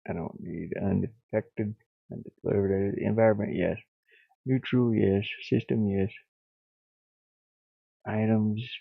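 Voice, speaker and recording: A man thinking aloud on a casual livestream as he works, muttering in a halting, absent-minded way. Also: fairly low pitch, gravelly. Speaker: livestreamer, hobby game developer. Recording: boxy echo, thin phone-like sound.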